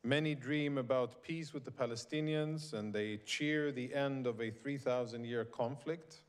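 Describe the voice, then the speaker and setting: A man who grew up in Sweden, giving a prepared speech to a live audience at a conference, in a measured, emphatic and deliberate way. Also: mid-range voice, clean tone. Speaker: conference speaker. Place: conference venue in New York.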